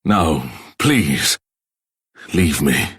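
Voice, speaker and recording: An actor playing a weary, troubled king in a video game, speaking in a solemn, formal, halting manner. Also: a bass voice, big pitch swings, a bit bright, breathy edge, no echo, clean studio sound, heavily acted. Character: weary, burdened king torn by guilt. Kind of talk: dramatic video game voice acting.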